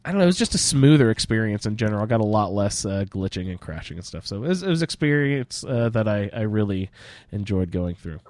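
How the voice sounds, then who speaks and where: A man hosting a casual gaming roundtable podcast, speaking in halting phrases with searching pauses. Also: lowish voice, sing-song, a little mellow, no echo. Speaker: podcast host. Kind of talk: casual roundtable chat about a video game.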